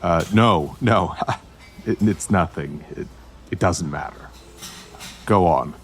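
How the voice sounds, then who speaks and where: An actor playing a guarded male investigator in an audio drama, deliberate and a little hesitant. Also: low-pitched. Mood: uneasy, puzzled. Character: steady, guarded male investigator protecting an informant.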